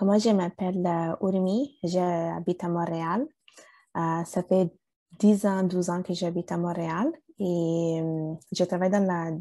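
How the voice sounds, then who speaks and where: A woman's voice: lowish voice, mellow, no room sound. Hesitant woman, dry sound. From Italy. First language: Bengali and Italian. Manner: hesitant, many fillers and restarts. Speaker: interview guest. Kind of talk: conversational interview.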